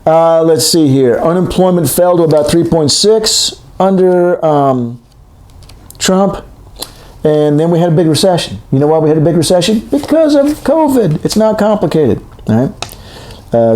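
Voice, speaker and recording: A man's voice: a little high, lively intonation, moderate pace, darkish tone. Man, 60s, economics lecturer, conversational, self-correcting, echoey room. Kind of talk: recorded lecture. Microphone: recorded for a video lecture.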